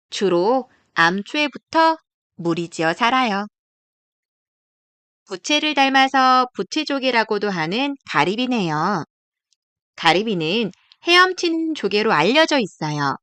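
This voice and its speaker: A woman narrates a picture book for young children in a high, very animated voice. She explains things gently, as a storyteller would. Her tone is clean and smooth. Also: dry recording.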